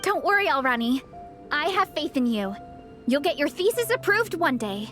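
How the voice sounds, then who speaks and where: A woman's voice: high voice, very animated, normal speed, a bit bright, faint music behind. A woman playing a cheerful, earnest young female fan club captain in a video game, enthusiastic and encouraging. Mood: reassuring. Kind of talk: video game character acting.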